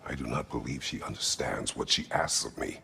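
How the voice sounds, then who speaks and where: Gruff stoic warrior, extremely low pitch, thick gravelly rasp, terse formal phrasing, brooding, video game drama. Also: male voice, a little fast, pushed and bright, a little hiss or hum, exaggerated voice. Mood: guarded, brooding. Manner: terse, formal, uncontracted speech.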